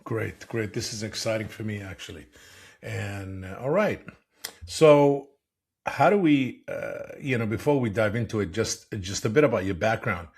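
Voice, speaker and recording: A man's voice: lowish voice, expressive, moderate pace, a little mellow, slightly rough. A man hosting a podcast interview, asking long, rambling questions that he often restarts. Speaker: podcast host.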